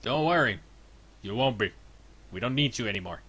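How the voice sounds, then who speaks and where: A man's voice: mid-range voice, animated, moderate pace, fairly bright tone, breathy edge. A Finnish man in his thirties with an American accent, casually chatting and muttering while he plays a game on stream. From Finland. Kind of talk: casual let's play commentary.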